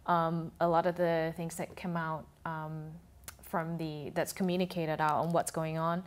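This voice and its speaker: A woman's voice: low-pitched, level tone, a little slow. A woman guest on a TV talk show, explaining her views in a thoughtful way with frequent restarts. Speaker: food and travel blogger, guest. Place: TV studio.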